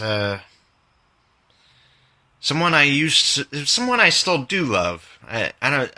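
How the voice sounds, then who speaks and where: A man hosting his own poetry podcast, reading his poems and talking about them in an informal, candid, halting way. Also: fairly low pitch, bright, forceful tone. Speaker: podcast host and poet.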